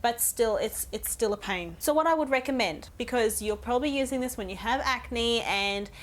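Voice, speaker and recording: Chatty, croaky woman vlogger. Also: fairly high pitch, expressive, moderate pace. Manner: chatty, ranting, addresses viewers directly. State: croaky from a cold. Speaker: beauty vlogger. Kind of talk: casual makeup tutorial talk.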